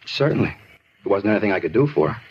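An actor playing a talkative, disturbed murder suspect in a 1950s radio drama, rambling and insistent in an American accent. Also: male voice, lowish voice, lively intonation, fast, a little mellow, a little hiss or hum, natural voice. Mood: flatly detached. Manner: rambling, repetitive, insistent, plain-spoken. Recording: dull vintage radio recording.

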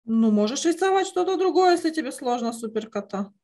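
A woman's voice: high voice, expressive, fairly quick, mellow. A middle-aged woman teaching an online children's drawing lesson, encouraging and fond of affectionate diminutives. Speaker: art teacher. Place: indoor room, on a video call.

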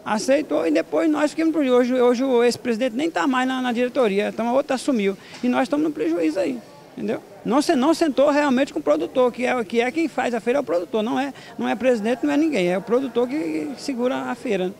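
A man's voice: extremely high pitch, fast, gravelly, a little mellow. A middle-aged Brazilian man being interviewed as a market vendor, speaking informally and repeating himself into a handheld microphone in an open market hall. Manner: colloquial, repetitive phrasing. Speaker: market vendor and produce grower. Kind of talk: street interview, informal complaint. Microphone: handheld reporter microphone.

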